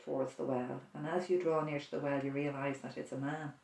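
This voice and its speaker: Soft-spoken prayer leader in an echoey room. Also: medium pitch, flat delivery, vocal fry. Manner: slow, soft-spoken, reflective, with pauses. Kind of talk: guided imaginative prayer. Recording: roomy echo, distant mic.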